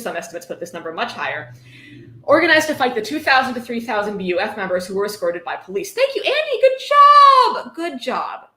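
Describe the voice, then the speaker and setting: Bright-voiced sarcastic woman in an echoey room. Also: a little high. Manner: sarcastic, irreverent, profane. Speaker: host reading a book aloud with commentary. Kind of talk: mocking livestream reading with casual chat.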